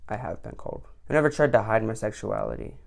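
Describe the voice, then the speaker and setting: Teen guy vlogging, gravelly fry in his voice, snarky and mock-outraged. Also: on the low side, quick pace. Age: late teens. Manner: sarcastic, mock-indignant outbursts. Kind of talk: sarcastic commentary on an online quiz.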